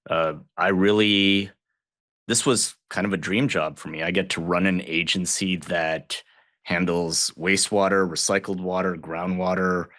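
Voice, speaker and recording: Low-voiced man, relaxed and chatty. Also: a little slow, dry recording, clean studio sound. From Southern Illinois, United States. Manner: relaxed, conversational, with frequent fillers and restarts. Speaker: guest, water agency general manager. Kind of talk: casual podcast interview.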